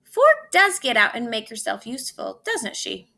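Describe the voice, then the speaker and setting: A woman voicing a gentle, reassuring mother spoon in a children's storybook, soothing and agreeable. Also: fairly high pitch, wildly swooping pitch, moderate pace, creaky, heavily acted. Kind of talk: children's storybook read-aloud.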